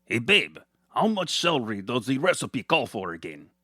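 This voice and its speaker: A man's voice: mid-range voice, sing-song, normal speed, bright, forceful tone, no room sound, clean studio sound. A man voicing a grouchy, balding villainous cartoon wizard in a comic audio story, with a snappish manner. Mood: casual asking.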